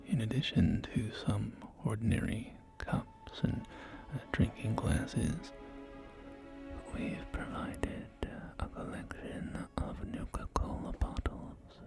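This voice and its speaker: A man playing a polite, salesy post-apocalyptic real estate agent in a whispered ASMR roleplay, with hesitant, soft-spoken patter. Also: fairly low pitch, big pitch swings, takes it slowly, creaky, full character voice. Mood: proud, soothing. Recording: close whisper under loud music. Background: loud music.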